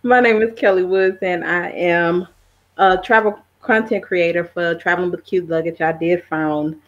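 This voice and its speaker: Slightly dull, lo-fi audio of a middle-aged woman with a lowish voice. She speaks as an interview guest, casual and conversational, feeling her way through her sentences haltingly. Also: steady tone. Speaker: podcast guest, travel content creator.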